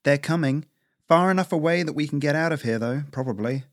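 A man's voice: a little high, a little fast, voice pushed up, lightly acted. A man voicing a snappy, exasperated older female squirrel in a narrated adventure story.

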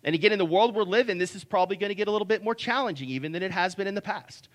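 Deep-voiced pastor preaching. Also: male voice, rapid-fire. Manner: conversational, repeats words, frequent "right?". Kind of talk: sermon.

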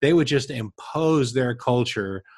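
A man's voice: mid-range voice, animated, measured pace, no room sound, studio quality. A man co-hosting a casual discussion, reflective and explaining with personal examples. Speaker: podcast co-host.

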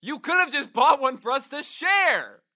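An exasperated young male roommate acted by a young man in a comedy audio drama. The voice is bright and very lively in pitch, with a dry, close sound. The manner is sarcastic and incredulous. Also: normal speed, no room sound, heavily acted. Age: young adult.

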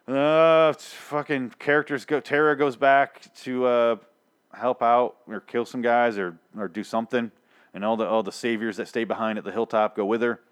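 A young man reviewing a TV episode in an exasperated, profane rant, full of restarts and rambling asides, his voice getting worn. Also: medium pitch. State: losing his voice. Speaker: TV show reviewer.

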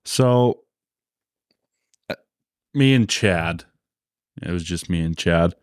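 A man with a bassy voice co-hosting a casual hunting podcast, telling stories and acting out what others said. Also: animated, takes it slowly, dry recording, studio quality. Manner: storytelling, acts out quotes. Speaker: podcast co-host. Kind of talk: casual chat.

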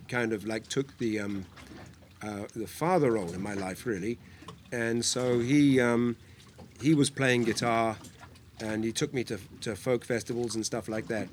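A man from near London, a guitar teacher who tells relaxed, rambling stories on a podcast. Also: mid-range voice, slight background noise. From England, near London. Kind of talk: podcast conversation. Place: on a boat in a harbour.

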